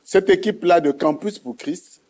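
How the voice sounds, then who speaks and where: Mellow Congolese man giving testimony. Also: sits high, moderate pace.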